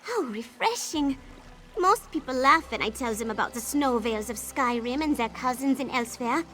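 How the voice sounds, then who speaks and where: A high, excitable voice an eccentric game potion brewer. The delivery is theatrical, boastful and full of dreamy belief, with music playing behind it. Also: female voice, normal speed. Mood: dreamy wonder. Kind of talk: video game character dialogue.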